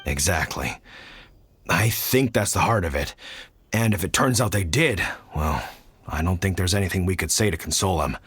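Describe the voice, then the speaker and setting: A husky fatherly hunter. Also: deep voice, moderate pace, no echo, full character voice. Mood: thoughtful. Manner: relaxed, thoughtful, frequent sighs. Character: gruff fatherly hunter, easygoing and kind. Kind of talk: game cutscene voice acting.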